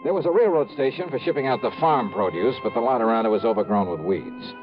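Male actor as wry, tough-minded insurance investigator, mid-pitched, clipped radio-drama delivery on a dull old recording. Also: animated, fast talker, music in the background, close to an everyday voice. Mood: brisk narrating. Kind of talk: 1950s radio drama acting and first-person narration.

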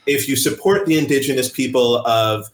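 A man's voice: medium pitch, fairly quick. A man in his usual middle register chats wryly on a podcast, breaking into laughter often. His voice carries a noticeable echo from the room around him. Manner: wry, laughs often, talks in bursts. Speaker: podcast host. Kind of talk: casual podcast chat.

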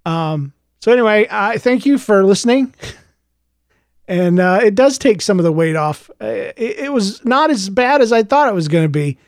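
A man with a high, slightly gravelly voice reads his own story aloud and then talks to his podcast listeners. He hesitates often, filling gaps with "uh" and restarting sentences. The sound is close and dry, with no room in it. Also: animated, moderate pace, no echo. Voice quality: a little gravelly. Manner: hesitant, many fillers and restarts in chat. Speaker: podcast host and author. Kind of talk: reading his own book aloud, then casual chat.

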